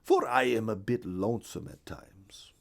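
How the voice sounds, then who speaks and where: A man voicing a shy, lonesome male partridge in a children's storybook reading, gentle and a little wistful. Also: lowish voice, a little slow, vocal fry.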